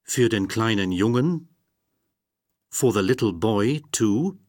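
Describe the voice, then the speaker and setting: A man reading phrases for a language course, slowly and deliberately, recorded close to the mic. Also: on the low side, lively intonation, darkish tone, no room sound. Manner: slow, deliberate, carefully spoken phrases.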